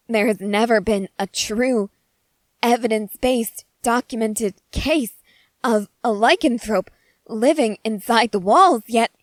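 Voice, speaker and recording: A woman playing an eager, excitable scientist soldier fascinated by creatures, speaking earnestly and emphatically to the listener in an ASMR roleplay. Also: a little high, dry recording. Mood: awed excitement.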